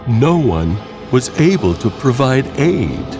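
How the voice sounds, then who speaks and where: A man narrating a scripted horror story theatrically, pausing between short phrases. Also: medium pitch, very animated. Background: loud music.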